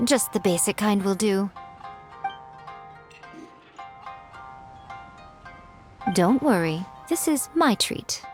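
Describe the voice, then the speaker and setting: A woman's voice: medium pitch, animated, measured pace, faint music behind, close to an everyday voice. A woman playing a sly, self-assured editor in a video game, poised, instructive and playfully condescending. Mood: breezy, reassuring.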